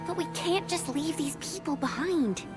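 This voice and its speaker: A woman's voice: sits high, lively intonation, normal speed, over music. An actress playing a plucky, kind-hearted young female companion in a video game, earnest and emphatic. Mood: pleading. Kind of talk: animated video game voice acting.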